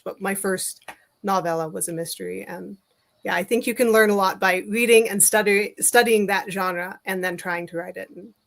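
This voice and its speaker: A woman's voice: medium pitch, creaky, a bit bright. A woman speaking as a writer guest in a casual interview, explaining her advice in a thoughtful, informal way.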